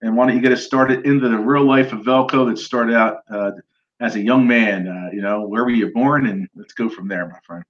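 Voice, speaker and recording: A man's voice: mid-range voice, fairly quick, lo-fi sound. A man hosting a casual live-stream interview, upbeat and chatty, greeting viewers and urging them to share. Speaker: show host, recruiter.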